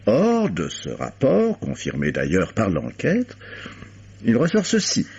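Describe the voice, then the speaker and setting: A shrewd magistrate in an old radio mystery, dry and insinuating. His low voice moves up and down a lot with formal, ironic phrasing. The sound is dull and dated. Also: wildly swooping pitch, slow, vocal fry, darkish tone, a little hiss or hum, exaggerated voice. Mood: dryly insinuating. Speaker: radio drama actor. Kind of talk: vintage French radio mystery acting. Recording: dull vintage radio recording.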